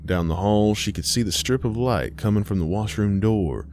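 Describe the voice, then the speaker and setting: A man reading a horror story as scripted, dramatic narration, measured and suspenseful, with deliberate pauses. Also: on the low side, a little mellow, slight background noise.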